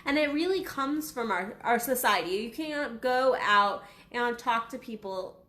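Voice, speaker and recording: Woman in her thirties, raspy from being sick, fairly high voice, frank and blunt, casual talk to camera. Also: lively intonation, a little slow, bright, forceful tone, roomy sound. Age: 30s. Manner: frank, blunt, swears freely. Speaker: sex coach. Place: small waiting room at her office.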